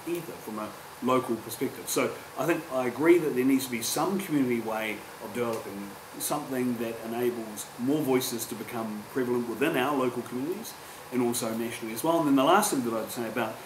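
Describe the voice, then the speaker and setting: A New Zealand man in his forties speaking on a public panel, earnest and halting, with frequent restarts. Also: medium pitch. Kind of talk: panel discussion. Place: university lecture room. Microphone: table microphone, distant. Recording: echoey room with background hum.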